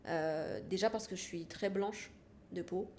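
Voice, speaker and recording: A woman's voice: fairly low pitch, unhurried, darkish tone, a little hiss or hum. A woman from France, a podcast guest, talking frankly in a casual interview and repeating her phrases for emphasis.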